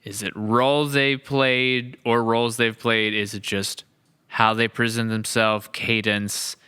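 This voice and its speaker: Guy hosting a casual podcast, crisp bright voice, kinda rambly and gushing. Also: medium pitch, little pitch movement, measured pace. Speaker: podcast host.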